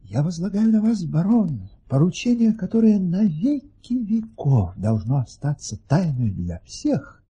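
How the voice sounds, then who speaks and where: A regal Turkish sultan in an audio play. His voice is high and very raspy, swinging in a lively way as he flatters and confides. He speaks with slow ceremony, as if every order were a state secret. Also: exaggerated voice. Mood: solemn, secretive. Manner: ceremonious, grandly drawn-out delivery.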